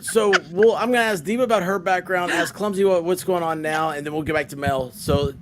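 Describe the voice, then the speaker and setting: A man's voice: high-pitched, fairly even intonation, brisk. A man hosting a casual group chat, speaking hesitantly with many fillers and restarts. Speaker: host. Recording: loud background noise.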